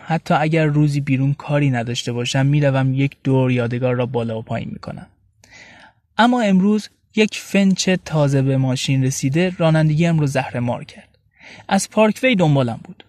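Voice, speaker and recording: A man's voice: on the high side, a little mellow, dry recording, clean studio sound, close to an everyday voice. A man narrating an audio story in the first person as a sardonic, feisty young woman, in wry, colloquial storytelling. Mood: wry, playful. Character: young Tehrani woman, sardonic, feisty, recounting her days. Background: light music bed.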